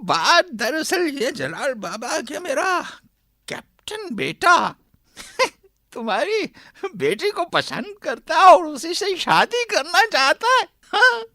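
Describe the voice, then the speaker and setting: A gloating old father and moneylender in a radio play voiced by a woman. The voice is very high and swoops wildly, with a creaky edge. The lines are oily and drawn out, full of chuckling mockery, in a dry recording. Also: sing-song, bright, forceful tone, dry recording, heavily acted. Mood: wheedling. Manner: drawn-out, sing-song wheedling with chuckles. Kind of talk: radio drama acting.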